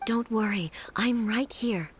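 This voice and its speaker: A woman voicing a calm, solemn divine ruler in a video game, speaking in a composed and formal manner. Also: on the high side, unhurried, a little hiss or hum, natural voice. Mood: reassuring, calm. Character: calm, regal female ruler, solemn lightning deity.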